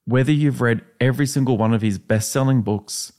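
An Australian man, the podcast host, reading a steady, upbeat episode introduction. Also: medium pitch, a little fast.

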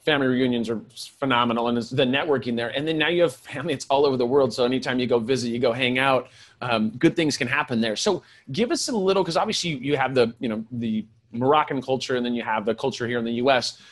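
A man hosting a marketing podcast, chatting loosely and enthusiastically with his guest. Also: medium pitch. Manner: fast, loose, enthusiastic with fillers. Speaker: podcast host. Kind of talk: casual interview chat.

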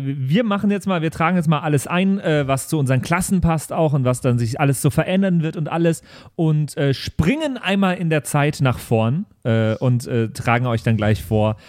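Higher-pitched man hosting, dry close sound. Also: lively intonation, brisk, a little mellow, no echo. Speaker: podcast host and player. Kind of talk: casual chat, moderating.

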